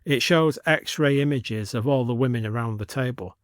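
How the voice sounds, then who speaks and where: A man narrating a scripted audio story in a measured, descriptive manner. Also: mid-range voice, dry recording, clean studio sound.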